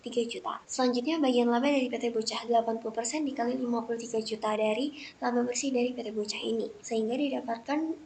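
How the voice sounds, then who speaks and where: High-pitched young woman, slightly creaky. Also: steady tone, fast talker, a little mellow. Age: young adult. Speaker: student presenter. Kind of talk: scripted lesson narration, step-by-step explanation. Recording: noticeable background noise.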